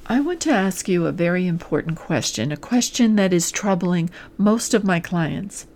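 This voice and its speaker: A woman executive coach delivers a scripted promotional pitch straight to the listener, earnest and deliberate, stressing points in short listed phrases. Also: lively intonation, a little mellow. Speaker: executive coach and podcast host.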